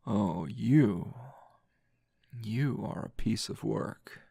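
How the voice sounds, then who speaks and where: Man voicing weary flirtatious anime hero, deep-pitched, very slow, intimate ASMR roleplay, teasing murmur. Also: warm tone, dry recording, studio quality, heavily acted. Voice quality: low, gravelly. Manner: slow, breathy murmuring with sighs and long pauses.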